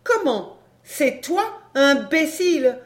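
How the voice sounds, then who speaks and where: A woman's voice: high voice, big pitch swings, slow, voice pushed up, heavily acted. A woman reading a story, voicing a brazen fairground woman who is scornful, cheeky and slangy. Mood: scornful, amused. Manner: taunting, slangy, derisive.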